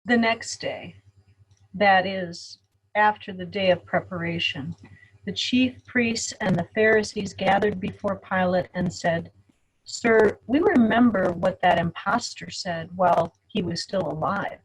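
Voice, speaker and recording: A woman's voice: medium pitch, unhurried, husky, low-quality recording. A woman pastor reading scripture aloud in a dramatic group reading over a video call. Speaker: Lutheran pastor.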